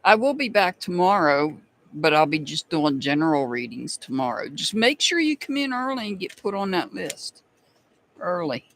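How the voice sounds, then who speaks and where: A man with a noticeably high voice and a slight Southern accent talks casually to a live stream audience as he hosts tarot readings. He chats loosely, laughs easily and greets viewers one by one by name. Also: very animated, normal speed, fairly bright tone, a little hiss or hum. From United States. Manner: chatty, laughs often, answers viewers by name.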